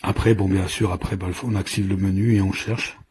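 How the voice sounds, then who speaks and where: A man's voice: sits low, flat delivery, brisk, a little mellow, a little hiss or hum. A man giving an informal hands-on product demonstration, explaining in a hesitant, improvised way as he goes. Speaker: product reviewer.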